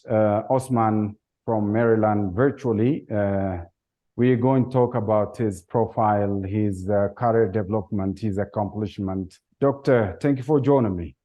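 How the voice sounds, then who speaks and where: A man hosting a weekly television interview show, speaking in a low-pitched voice. He greets viewers and asks questions in a formal, measured way. The recording sounds dull and lo-fi. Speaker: TV talk show host.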